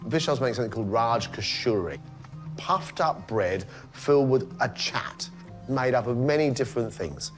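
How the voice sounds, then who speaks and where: A man judges a cooking competition, his mid-pitched voice explaining each dish with plain enthusiasm. Soft music plays behind him. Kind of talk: judging commentary.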